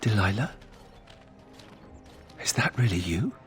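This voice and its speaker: An actor playing a brooding young nobleman in a video game, husky and earnest, with a subdued delivery. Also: lowish voice, animated, slow, faint music behind. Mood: concerned. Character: brooding young nobleman troubled by his family's disgrace.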